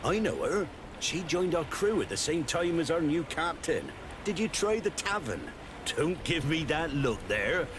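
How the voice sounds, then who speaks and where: Lively, chummy sailor. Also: male voice, fairly high pitch, measured pace, noisy background. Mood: friendly, wry. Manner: familiar, informal. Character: chummy, easygoing sailor, reformed drinker.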